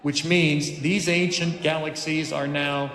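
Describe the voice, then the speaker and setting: A man's voice: on the high side, little pitch movement, slow pace, a bit bright, echoey room, big-room sound. Man voicing a grand planetarium show narrator. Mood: awed, grand. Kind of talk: documentary-style scripted narration in a film drama.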